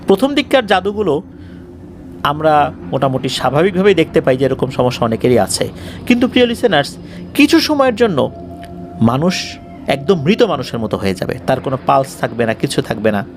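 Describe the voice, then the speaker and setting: A man's voice: fairly high pitch, sing-song, fairly quick, pauses often, a little hiss or hum. A man hosting a horror show, telling a story to listeners in a dramatic, emphatic, suspenseful manner.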